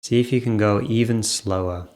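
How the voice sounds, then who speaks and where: A man leading an off-the-cuff guided meditation, speaking in short phrases with gentle pauses between them. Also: lowish voice.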